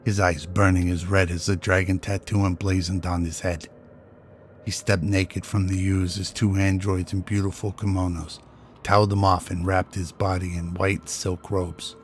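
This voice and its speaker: Deep-voiced male drama narrator. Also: level tone, normal speed, a little hiss or hum.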